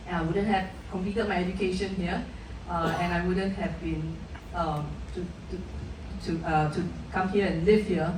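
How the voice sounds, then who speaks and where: A woman's voice: fairly low pitch, fairly even intonation, moderate pace, darkish tone, slight background noise. Middle-aged woman from Malaysia, earnest guest speaker, far from the mic, echoey hall. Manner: earnest, many restarts. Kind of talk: personal story at a live event. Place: event hall.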